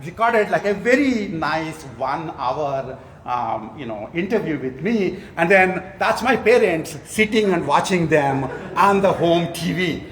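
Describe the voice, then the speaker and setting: A man's voice: fairly high pitch, expressive, measured pace, fairly bright tone. A man from India, a professor giving a conference award lecture with joking asides. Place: conference hall before an audience.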